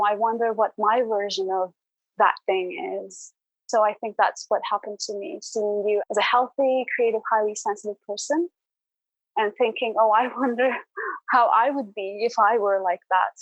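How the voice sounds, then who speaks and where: A woman's voice: medium pitch, steady tone, normal speed, dry recording, rough recording. A woman podcast guest telling her personal story in an earnest, thoughtful, reflective way.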